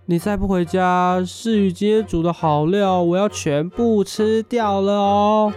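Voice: mid-range voice, fairly even intonation, unhurried, darkish tone, faint music behind, close to an everyday voice. Playful young Taiwanese housemate voiced by an actor. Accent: Taiwanese Mandarin. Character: cheerful, playful young housemate rallying friends. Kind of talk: audio drama acting.

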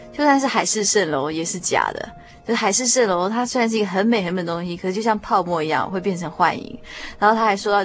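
A young woman with a Taiwanese Mandarin accent hosting a conversational radio interview, often chiming in with agreement. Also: medium pitch. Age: young adult. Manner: frequent affirming backchannels. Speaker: radio program host.

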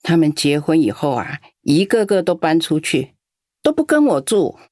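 Elderly auntie, radio drama, doting and grumbling, low voice, very lively pitch. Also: female voice, moderate pace, no room sound. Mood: lonely. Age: older. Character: warm lonely elderly aunt, chatty, doting, complaining. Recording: dry studio with soft background music.

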